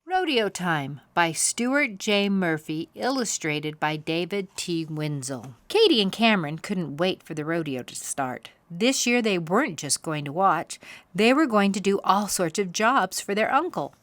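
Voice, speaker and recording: Animated woman reading to children, very dry sound. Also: fairly low pitch, a little slow, no room sound. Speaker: host and reader of a children's story time. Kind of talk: animated storybook read-aloud for children.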